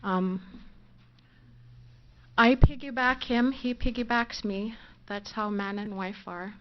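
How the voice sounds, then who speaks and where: Soft-spoken nervous woman in a courtroom. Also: mid-range voice, fairly even intonation, lo-fi sound. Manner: hesitant, halting with fillers and restarts. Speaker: defendant giving closing argument. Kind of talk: nervous courtroom closing statement. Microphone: courtroom microphone.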